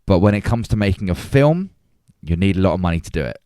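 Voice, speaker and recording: A man's voice: low voice, expressive, fast talker, mellow, smooth, no room sound, studio quality. A man hosting a podcast, arguing his points emphatically in a conversational film debate. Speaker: podcast host.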